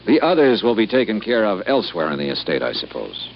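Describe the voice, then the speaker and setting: An actor playing a wry, wary American insurance investigator in 1950s radio detective style. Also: male voice, on the low side, sing-song, fast talker, muffled recording, a little hiss or hum. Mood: wary, probing. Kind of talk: 1950s radio detective acting with first-person narration.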